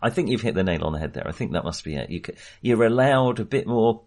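A man's voice: lowish voice, expressive, quick pace, darkish tone. A man in a casual film discussion as a podcast host, talking in a rambling way that often qualifies itself.

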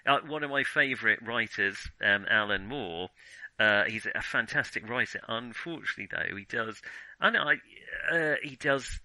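A man's voice: medium pitch, moderate pace, sharp tone, dry recording, rough recording. A man in a casual film discussion as a podcast host, talking in a hesitant way and often qualifying what he says.

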